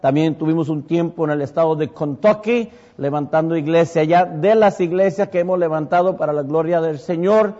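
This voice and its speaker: Middle-aged Puerto Rican pastor, Mexican-tinged accent, slightly high voice, rambling testimony with fillers, muffled sound with long church reverb. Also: male voice, brisk, hardly any pauses, dark tone. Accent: Mexican-tinged Puerto Rican Spanish. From Puerto Rico. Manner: rambling, many fillers and self-corrections. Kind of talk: testimony to a congregation. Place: church sanctuary.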